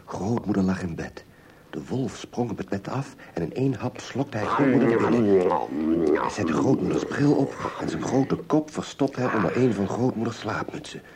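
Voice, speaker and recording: A man's voice: lowish voice, expressive, normal speed. A man reading scripted fairy tale narration as the narrator of an audio play. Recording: clearly noisy background with clicks.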